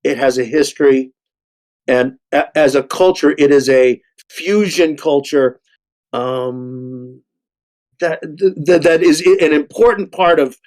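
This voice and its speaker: Older male panelist, higher-than-average voice, stammering, searching delivery full of repeated words. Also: a little slow, darkish tone. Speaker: actor and panel discussion host. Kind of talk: panel discussion.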